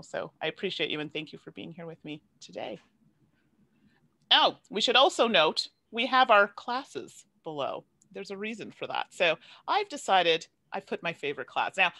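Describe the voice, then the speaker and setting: Young woman, panel host, chatty, laughs easily, bright voice, lively pitch, dry close sound, video call. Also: dry recording. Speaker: panel host, content creator. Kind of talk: hosting an online panel.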